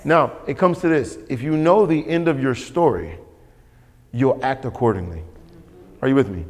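A man's voice: mid-range voice, moderate pace. A man preaching a sermon as pastor, emphatic and repetitive, calling for responses from the congregation. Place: church sanctuary. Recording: long hall reverb.

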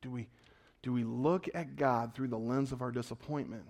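A man preaching a sermon in a conversational way, asking his congregation questions and swinging between loud, urgent lines and hushed ones. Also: medium pitch, steady tone, normal speed, vocal fry. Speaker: pastor.

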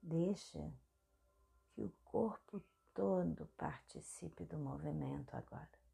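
A Brazilian woman hosting a guided meditation, speaking softly and breathily in short, soothing phrases. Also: sits low, takes it slowly, creaky, husky. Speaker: guided meditation podcast host.

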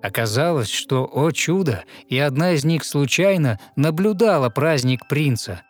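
Male narrator, slightly high voice, rhythmic verse storytelling, measured delivery, music underneath. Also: lively intonation. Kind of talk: scripted verse storytelling, fairy-tale audio play.

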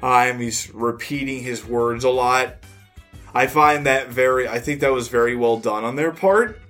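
A man's voice: mid-range voice, unhurried, fairly bright tone, faint music behind. A man co-hosting a podcast, chatting casually and retelling things in a rambling, slangy way. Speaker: podcast co-host. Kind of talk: casual chat.

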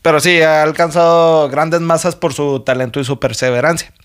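Young man, Mexican accent, podcast co-host, slangy, laughs a lot, fairly high pitch. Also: steady tone, moderate pace, clear, resonant tone. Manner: laid-back, jokey, slangy, laughs often. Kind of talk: casual chat.

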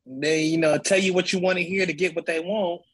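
A woman's voice: fairly low pitch, fairly even intonation, fairly quick, husky. A woman in a casual chat, talking in a blunt, sassy, colloquial way.

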